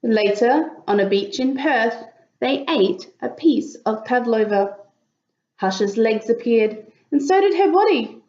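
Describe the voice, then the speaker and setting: A woman reading a picture book aloud as an expressive storyteller, with dramatic emphasis for young listeners. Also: on the high side, slow pace, highs cut off. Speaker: children's story reader.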